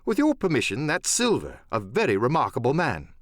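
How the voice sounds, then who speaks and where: A man playing a genteel, level-headed gentleman doctor in an audiobook drama, speaking in a courteous, measured manner. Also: mid-range voice, wildly swooping pitch, no room sound, studio quality. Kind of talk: audiobook radio-play character acting.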